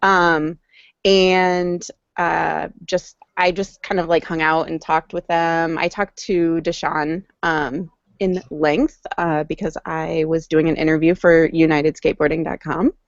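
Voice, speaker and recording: A woman's voice: sits low, little pitch movement, measured pace, no room sound. Rambling young woman on a dull mic. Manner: rambling, many ums and restarts. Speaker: podcast co-host. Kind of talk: casual chat.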